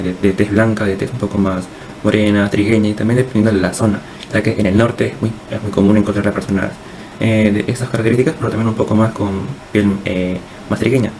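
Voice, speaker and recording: A man's voice: on the low side, creaky, a little mellow, a little hiss or hum, rough recording. A young man with a Peruvian accent giving an informal class presentation as a student, hesitant and often repeating words. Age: young adult.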